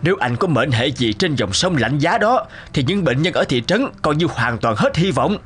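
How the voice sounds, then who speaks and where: Alarmed, cautious cartoon courier. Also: male voice, on the high side, very animated, normal speed, a bit bright. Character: worried, cautious male serum courier. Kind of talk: animated cartoon dubbing.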